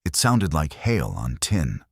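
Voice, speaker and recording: A man's voice: extremely low pitch, sing-song, slow pace, husky, no echo, clean studio sound. A man narrating a dramatic Western tale as a scripted storyteller.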